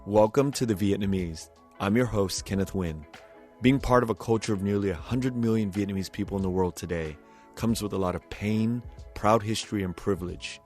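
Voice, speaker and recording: A man hosting a podcast, reading a scripted intro and promo in a measured, announcer-like way. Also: fairly low pitch, a little mellow, soft background music. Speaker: podcast host.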